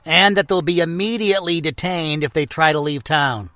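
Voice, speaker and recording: A gruff, blunt senior police officer in an audio drama. His voice is sharp and forceful, sitting a little high for a man. He is brusque and prejudiced, recorded close and dry. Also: normal speed, no room sound. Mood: businesslike.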